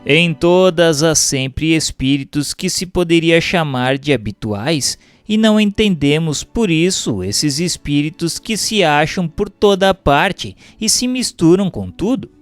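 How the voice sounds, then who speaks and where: A man with a Brazilian accent reading a doctrinal text aloud as a narrator, in a formal, measured, didactic way. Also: a little high, lively intonation, smooth, faint music behind. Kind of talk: scripted audiobook-style narration of a doctrinal text.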